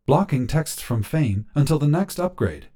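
A man's voice: a little high, very animated, normal speed, dark tone, pitched above the performer's own voice. A man voicing a polite female phone AI assistant in a story, scripted and helpful. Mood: informative.

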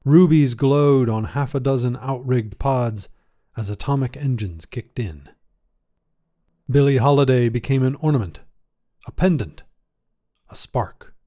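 A man narrating a scripted short story as a reader, in a measured, literary delivery. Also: medium pitch, warm tone.

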